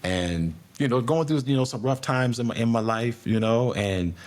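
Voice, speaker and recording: A man's voice: mid-range voice, normal speed, a little mellow. A middle-aged man, a podcast guest, talking in a laid-back, rambling way with many restarts and fillers. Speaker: podcast guest, air traffic controller. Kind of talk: casual conversational interview.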